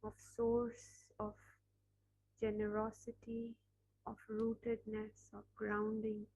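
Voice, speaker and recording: A woman leading a guided meditation, speaking softly with long pauses between phrases. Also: medium pitch, completely flat delivery, slightly rough, low-quality recording. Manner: slow, soft, pausing between phrases.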